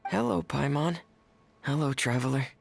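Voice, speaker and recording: An actress playing a shy, gentle, earnest teenage boy in a video game, speaking quietly and hesitantly. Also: extremely low pitch, normal speed, creaky, faint music behind, full character voice. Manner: hesitant, quiet, soft-spoken.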